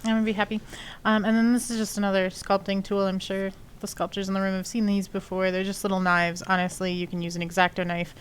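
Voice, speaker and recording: A woman's voice: mid-range voice, level tone, fast, few pauses, slight background noise. A woman with a sniffly nose chatting casually and rambling with many fillers while explaining her sculpting on a live stream. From not from Texas. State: sniffly from allergies. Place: home studio, lives in Texas.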